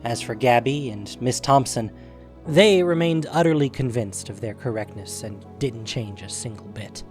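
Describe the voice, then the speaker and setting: A man narrating a scripted audio drama in an expressive, measured storytelling manner. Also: mid-range voice, faint music behind.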